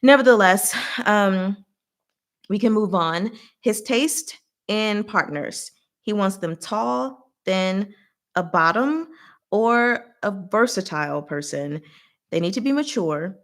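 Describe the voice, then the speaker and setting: A woman's voice: medium pitch, lively intonation, takes it slowly. A woman with a mid-range voice hosts a casual recap and discussion. She talks in a chatty, conversational manner, addressing listeners directly with frank asides as she goes. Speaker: host of a book recap livestream.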